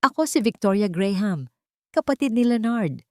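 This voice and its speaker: Woman playing a snooty shrill rich auntie, bossy and sneering, lively voice. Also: moderate pace, no echo, studio quality, close to an everyday voice. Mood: haughty. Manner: mocking, commanding. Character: shrill haughty rich woman, scornful aunt.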